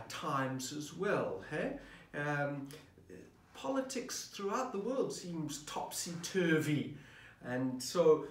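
Slow, reflective priest in a roomy office. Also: male voice, on the high side. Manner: reflective, posing questions to the listener. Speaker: parish priest. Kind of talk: sermon preparation talk. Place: office at a desk, indoors. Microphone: camera at desk distance. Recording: roomy, mic at a distance.

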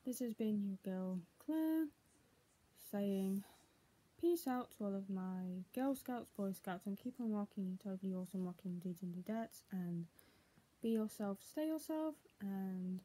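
Young woman with a dark, husky voice. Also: medium pitch, animated, measured pace, low-quality recording. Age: young adult. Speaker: vlogger. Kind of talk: casual home vlog, partly reading aloud. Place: small room at home, indoors. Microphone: tablet built-in mic at arm's length.

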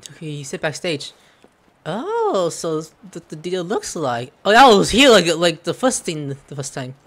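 A man's voice: on the high side, wildly swooping pitch, measured pace, a bit bright. A young man giving casual, jokey, rambling commentary as he plays a video game. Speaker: gaming streamer. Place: indoor room at home.

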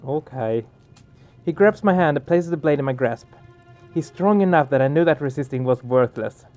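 Higher-pitched man doing game commentary. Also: animated, normal speed, darkish tone. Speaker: let's play streamer. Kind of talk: casual gaming commentary, reading game text aloud. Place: small room indoors. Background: game music.

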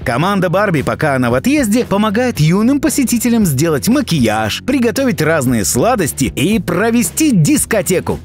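A man reading scripted narration for a video as its narrator. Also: high-pitched. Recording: background music under the voice. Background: music.

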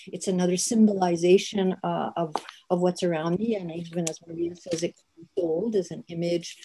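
A woman's voice: on the low side, dark tone. An older woman speaking on a video call from a book-lined office, a panel guest talking in a thoughtful, searching way with many restarts. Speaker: artist and psychiatrist guest. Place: home office with bookshelves. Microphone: video call webcam.

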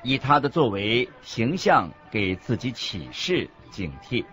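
Buddhist master, earnest, didactic radio drama delivery, expressive intonation, muffled audio. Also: male voice, mid-range voice. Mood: earnest, instructive. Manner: didactic, measured. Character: earnest male Buddhist master teaching the faith. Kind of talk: scripted radio drama dialogue, expository. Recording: dull lo-fi audio with background music. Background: music.

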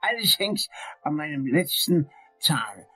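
Husky, fussy self-important male lawyer. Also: on the high side, big pitch swings, slow, sharp tone, soft background music, full character voice, rough recording. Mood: fussy, pompous. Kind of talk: crime radio play dialogue.

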